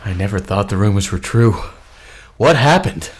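A man's voice: fairly low pitch, animated, normal speed, slight background noise. A man playing an earnest character in a comedy radio play. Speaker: podcast performer.

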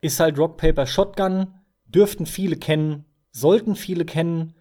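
A man's voice: a little high, normal speed, warm tone. A man co-hosting a podcast, giving strong, emphatic opinions in a casual, colloquial way. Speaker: podcast co-host.

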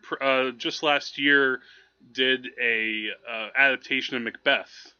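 A man co-hosting a podcast in casual conversation, animated and often feeling his way through a thought. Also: medium pitch, slow pace, clear, resonant tone, no echo, lo-fi sound. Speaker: podcast co-host. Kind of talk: casual movie chat.

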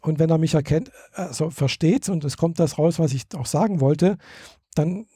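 A man's voice: fairly high pitch, normal speed, dark tone, breathy edge, no echo, studio quality. A man, chatting casually and hesitantly, with many fillers and restarts. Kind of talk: casual chat.

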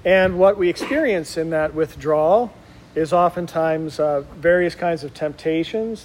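A man's voice: on the high side, a little mellow. A middle-aged man from Wisconsin, a Benedictine monk, giving an informal spiritual conference to oblates, talking conversationally with frequent ums and uhs.

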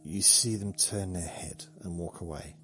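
Really low voiced guy rambling slow and dry, soft music under it. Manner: slow, meandering, deadpan humor. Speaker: podcast host. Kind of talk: rambling sleepy monologue.

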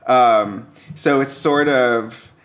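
A man as a podcast guest talking casually about television, halting as he goes and often checking in with "right?". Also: mid-range voice, fairly bright tone. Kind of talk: casual TV review chat.

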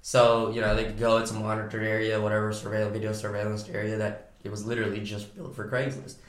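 Man, hoarse, raspy, upbeat podcast host, roomy echo. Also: fairly low pitch, completely flat delivery, fast talker. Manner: upbeat, talkative, joking. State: hoarse from a cold. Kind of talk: casual podcast chat. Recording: echoey room.

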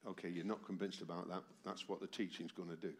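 A man's voice: low voice, level tone, fast. A man in his seventies preaching a sermon to a congregation, with a voice tired from a cold and a habit of asking the room rhetorical questions. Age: 70s. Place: church hall with a congregation. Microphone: amplified microphone.

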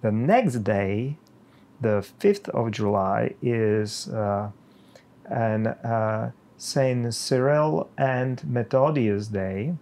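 A middle-aged man from the Czech Republic with a Czech accent, a pastor speaking slowly and haltingly with many pauses in a podcast conversation. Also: medium pitch, a little mellow.

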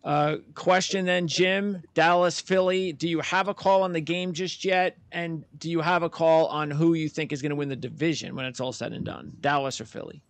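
Gravelly man with a dry, close sound. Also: fairly high pitch, steady tone, normal speed, hardly any pauses, dry recording. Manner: chatty, plugs the channel, reads out trends. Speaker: producer and co-host. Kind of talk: sports betting talk.

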